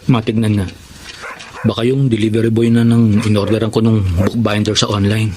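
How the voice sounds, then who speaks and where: A man's voice: medium pitch, noisy background, natural voice. A man playing a considerate, polite younger schoolteacher in a Filipino radio drama. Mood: puzzled. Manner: polite, helpful, respectful.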